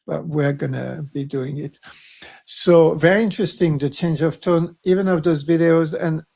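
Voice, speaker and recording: A man with a croaky voice co-hosting a panel discussion, rambling and self-mocking, then speaking with passion. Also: on the high side, moderate pace, a little mellow. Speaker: co-host.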